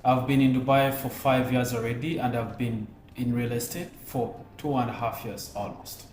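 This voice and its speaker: A man's voice: mid-range voice, steady tone, normal speed, a little mellow, faint music behind. A man from Uganda with a Ugandan accent, speaking as a webinar co-presenter. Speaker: webinar co-presenter and real estate agent. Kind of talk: webinar self-introduction. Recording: echoey room.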